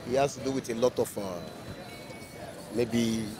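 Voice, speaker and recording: A man's voice: mid-range voice, flat delivery, moderate pace, mellow. A middle-aged Nigerian man answering a street interview, emphatic and repeating his point into a handheld mic. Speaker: passerby interviewee. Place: busy city roadside outdoors. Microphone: handheld mic held by interviewer. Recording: outdoor street with traffic noise.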